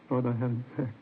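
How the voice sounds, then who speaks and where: An actor playing a heartbroken, weary old grandfather in a 1950s radio drama. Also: medium pitch. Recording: tinny, noisy old radio recording.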